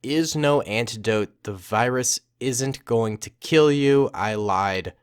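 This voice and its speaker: A man voicing a smug, scheming scientist in a storybook war tale, with a put-on foreign accent and drawn-out, mocking delivery. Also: medium pitch, clear, resonant tone, no echo, clean studio sound, voice pushed down, close to an everyday voice. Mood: smug, taunting. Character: scheming, smug male scientist defecting from his dark lord.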